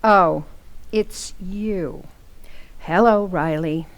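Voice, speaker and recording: A woman's voice: lowish voice, drawn-out words. A woman playing a forgiving, coy housewife in an old-time radio comedy. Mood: cool, reserved.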